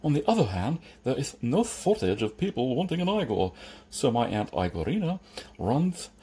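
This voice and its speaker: A man acting a deferential, husky monster servant. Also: medium pitch, sing-song, moderate pace, dark tone, pitched above the performer's own voice, full character voice. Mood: smooth, unflappable. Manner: deferential, formal, salesmanlike. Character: Igor, stitched-up servant creature, obsequious, unflappable, grumbling.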